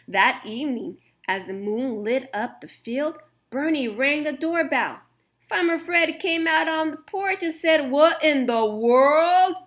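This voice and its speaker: A woman reading a children's picture book aloud in an expressive, gentle storytime manner. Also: high-pitched.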